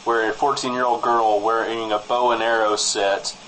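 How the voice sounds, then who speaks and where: A man co-hosting a comedy podcast on a studio mic, bantering through mock local news in a deadpan, rambling way. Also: fairly low pitch, normal speed, rough recording. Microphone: studio microphone. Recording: faint background noise.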